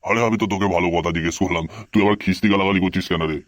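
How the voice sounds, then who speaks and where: A man's voice: low voice, fairly even intonation, rapid-fire, no echo, natural voice. A man voicing a nosy, moralising older uncle in a comic cartoon. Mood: curious, disapproving.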